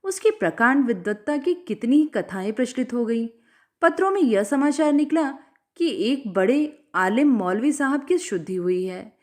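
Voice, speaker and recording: A woman narrates a written story in a high voice. Her delivery is expressive and very lively in intonation, as in an audiobook performance. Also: normal speed. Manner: expressive, acts out dialogue. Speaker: storyteller reading short fiction aloud.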